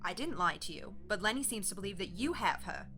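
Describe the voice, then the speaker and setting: A woman's voice: medium pitch, animated, fast, bright, forceful tone, soft background music. An actress playing a wary, combative young woman in a naturalistic audio drama, blunt and challenging. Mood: probing. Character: Faith, wary combative young woman refusing to open the door.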